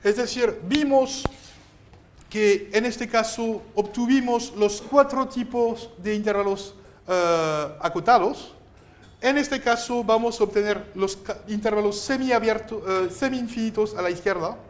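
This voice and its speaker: A man whose first language is French, lecturing a university math class and explaining each step with halting restarts. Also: high voice. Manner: explains step by step, insistent, gently scolding; halting, restarts while writing on board. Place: lecture hall.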